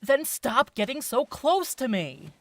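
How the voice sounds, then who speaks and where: A woman's voice: sits high, big pitch swings, a little slow, full character voice. A woman voicing an irritable younger elf brother in a fantasy audio drama, blunt and defensive.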